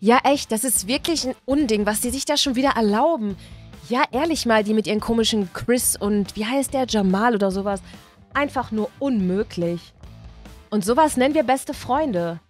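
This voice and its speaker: A woman's voice: on the high side, normal speed, faint music behind, lightly acted. Woman putting on a snooty, huffy teenage mean girl. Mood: huffy, resentful. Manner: scornful, huffy youth slang. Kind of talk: acted toy-figure story for children.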